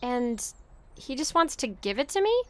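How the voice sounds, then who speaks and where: A woman's voice: high voice, big pitch swings, normal speed, a bit bright, dry recording. A woman playing a naive, curious and earnest young wanderer in an audio drama. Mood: tentative, grateful.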